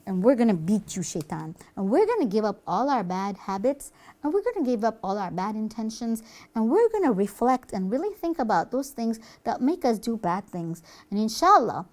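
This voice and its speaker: A woman's voice: fairly high pitch, a little mellow, voice pushed down. A woman voicing an earnest, astonished pupil who scolds the devil in a children's puppet show. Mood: resolute.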